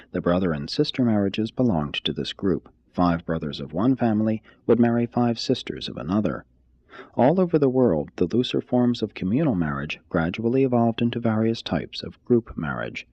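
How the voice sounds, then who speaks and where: A man reading a scripted expository text aloud like an audiobook, in a formal, measured manner. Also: fairly low pitch, darkish tone, breathy edge, no echo, lo-fi sound. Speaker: reader, narrator.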